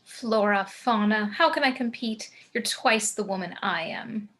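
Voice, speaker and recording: A woman's voice: mid-range voice, unhurried, fairly bright tone. A woman acting an elegant, gracious gothic matriarch with polite theatrical poise in a script table read. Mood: sweetly poised.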